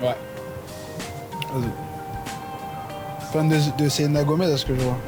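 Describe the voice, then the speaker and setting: A man's voice: fairly high pitch, steady tone, normal speed. A man in his twenties making casual chat, politely asking questions and echoing answers back. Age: 20s. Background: music.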